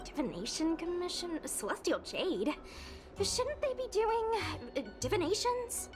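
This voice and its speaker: A young female ghost hunter in a video game, with a very high voice and clear music underneath. She sounds drowsy and easygoing, grumbling casually as if she badly wants her bed. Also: big pitch swings, a little slow, heavily acted. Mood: puzzled.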